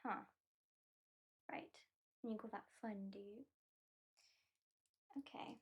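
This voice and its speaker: A British woman voicing a haughty female vampire who claims the listener as a pet, speaking slowly in a soft, teasing, condescending way that often drops to a whisper, close to the microphone. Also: mid-range voice, fairly even intonation. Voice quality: soft and breathy. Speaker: ASMR roleplay performer. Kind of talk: ASMR roleplay.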